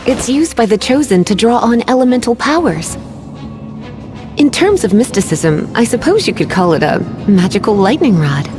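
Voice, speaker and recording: A woman's voice: a little high, sing-song, moderate pace. An actress voicing a languid, teasing, learned woman mage in a video game, with a knowing, theatrical delivery. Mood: teasing, explanatory. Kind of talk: scripted video game voice acting. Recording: game music behind the voice.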